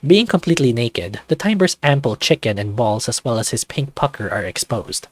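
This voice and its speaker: A man's voice: mid-range voice, very animated, normal speed, no echo. Brief: casual male YouTuber reading a game aloud, hesitant fillers, dry room. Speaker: YouTube visual novel reader.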